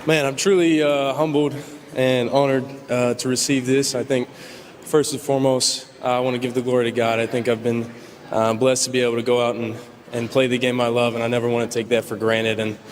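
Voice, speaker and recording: A young man giving a humble, halting acceptance speech with many fillers, played from a clip recorded in a large hall. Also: mid-range voice, level tone. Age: young adult. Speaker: award winner giving a speech.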